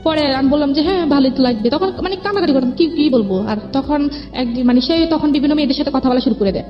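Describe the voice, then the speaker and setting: A woman's voice: high voice, fast. A young woman tells her own life story as an interview guest, rambling, repeating herself and quoting conversations. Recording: dull lo-fi audio with music behind.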